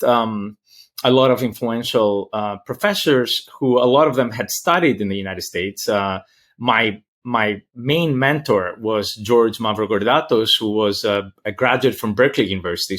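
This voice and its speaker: A man from Greece with a Greek accent answers interview questions as a professor, thoughtful and halting as he searches for words. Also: lowish voice, a bit bright. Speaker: interview guest, political science professor.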